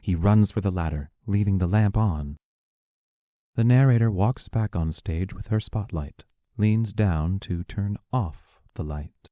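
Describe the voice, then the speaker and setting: A man reading the stage directions of an audio play in a measured, matter-of-fact way. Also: low-pitched, dark tone, dry recording, clean studio sound. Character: impersonal stage-direction narrator. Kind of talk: scripted narration.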